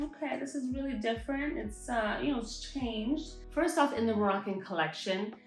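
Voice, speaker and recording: A woman who owns a henna hair care shop, chatting enthusiastically and directly to viewers about her products. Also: mid-range voice. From Montreal, Canada. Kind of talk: product showcase vlog. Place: her salon and shop space. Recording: roomy space with light music.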